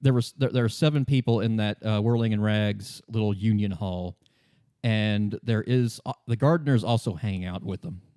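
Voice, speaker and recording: A tired male detective played by a game master talks in a fairly low voice, halting and deadpan. He is dry and matter-of-fact, as in a tabletop game, and the sound is close and free of room echo. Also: natural voice. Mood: weary, matter-of-fact. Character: tired, dry police detective partner, a man.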